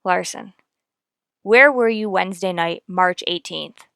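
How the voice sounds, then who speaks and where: An actress playing a cool, persistent police detective in a radio crime drama, questioning in a clipped, deadpan, needling way. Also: female voice, fairly low pitch, measured pace, creaky, clear, resonant tone, no room sound, studio quality, heavily acted. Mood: pressing, cool. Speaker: voice actor in an audio police drama.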